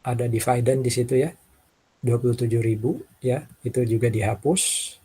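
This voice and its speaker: A man with a husky voice, lecturing informally and talking through worked examples, often checking in with short tags. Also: medium pitch, moderate pace, mellow, poor audio. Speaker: accounting lecturer.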